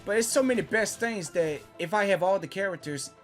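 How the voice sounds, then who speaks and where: A gaming video reactor giving excited, casual commentary, full of exclamations and echoing phrases just heard. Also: medium pitch, brisk, faint music behind.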